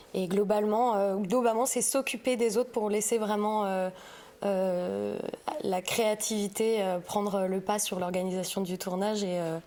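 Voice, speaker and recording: A woman speaking casually as a podcast guest, pausing often as she tells about her work. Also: medium pitch, a little mellow. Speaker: podcast guest, audiovisual sustainability consultant. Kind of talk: casual interview storytelling.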